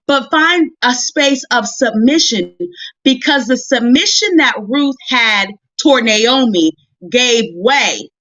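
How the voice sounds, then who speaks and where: A woman's voice: mid-range voice, a bit bright. An American woman preaching in a conversational storytelling style, folksy and emphatic, repeating phrases for emphasis. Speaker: minister and show host preaching. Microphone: livestream audio. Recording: roomy, slightly dull livestream audio.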